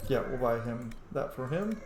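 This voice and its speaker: Male let's-play host, casual, lively and excitable, faint background hiss. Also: medium pitch, normal speed, reverberant. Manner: excitable, jokey, bursts of shouting. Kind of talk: casual gameplay commentary.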